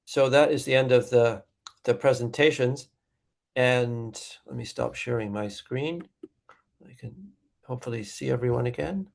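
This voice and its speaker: A man chairing an online meeting, relaxed and halting, with many fillers. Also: mid-range voice, vocal fry. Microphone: video call.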